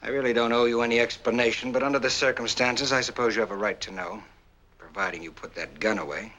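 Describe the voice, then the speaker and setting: A man acts out a self-assured war veteran in a 1940s noir film. His voice is bright and forward at a middle pitch. He speaks in measured, courteous sentences with cool control, on an old film soundtrack. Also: highs cut off, lightly acted. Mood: controlled, persuasive. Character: smooth, self-assured war-veteran husband, calmly explaining.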